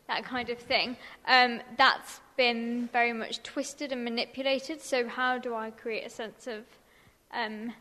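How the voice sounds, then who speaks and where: A woman with a loud voice asking a question from the audience on a roving mic, hesitant and full of ums, with a wry edge. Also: on the high side. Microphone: roving handheld mic. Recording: reverberant hall with faint ambience.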